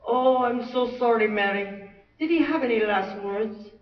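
A man's voice: extremely high pitch, measured pace, roomy sound, recorded from a distance, lo-fi sound, pitched above the performer's own voice. A man voicing a sympathetic Irish pastor in a put-on Irish accent, with a soothing, consoling delivery.

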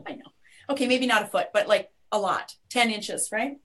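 A woman's voice: fairly high pitch, normal speed, fairly bright tone. A Midwestern American woman speaking as a guest on a webinar, chatty and upbeat, with many restarts. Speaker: guest, media company owner. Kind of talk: webinar conversation.

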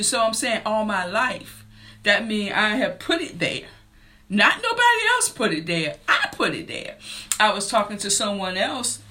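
A woman in her fifties hosting a faith-based motivational talk show, earnest and preacher-like, building to excited emphasis. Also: mid-range voice. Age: 50s. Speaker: podcast and radio show host.

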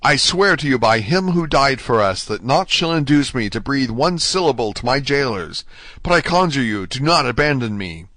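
A man's voice: mid-range voice, lively intonation, normal speed, fairly bright tone, dry recording, lightly acted. A man voicing a desperate, ardent young male prisoner in a storybook, pleading fervently. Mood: fervent vows. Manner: impassioned, fervent, exclamatory.

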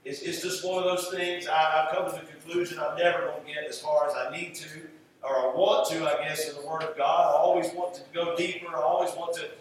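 A high-pitched male preacher teaches in a conversational sermon style, repeating phrases for emphasis as he goes. The sound is very roomy and echoing, picked up at a distance from the microphone. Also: steady tone, fairly quick. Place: echoey church room. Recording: roomy echo, distant mic, faint noise.

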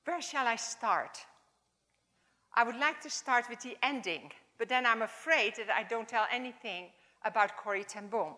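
A woman in her seventies with a Dutch accent, giving an informal, halting chapel talk in English as a second language. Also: fairly high pitch, expressive. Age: 70s. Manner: warm, halting, non-native phrasing. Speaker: chapel speaker. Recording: long hall reverb.

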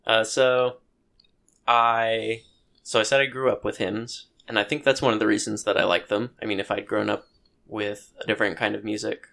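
A man with a low voice co-hosting a podcast, talking thoughtfully with many pauses and restarts. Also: flat delivery, gravelly, a bit bright. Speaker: podcast co-host. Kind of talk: casual chat.

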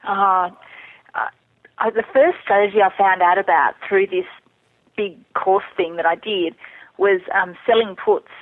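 A woman's voice: mid-range voice, fairly even intonation, unhurried, no echo. A woman chatting candidly as a guest in a casual interview, answering questions about herself. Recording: thin narrowband phone or internet call.

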